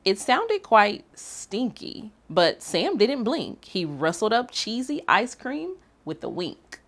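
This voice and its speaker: A woman reading aloud and hosting for children, expressive and speaking directly to young listeners. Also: mid-range voice. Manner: animated, addressing young listeners. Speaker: children's story reader and activity host.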